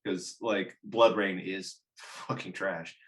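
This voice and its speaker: A man's voice: deep voice, fairly even intonation, slow. Blunt, sweary man chatting. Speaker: host of a film discussion show. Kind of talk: casual chat, movie commentary.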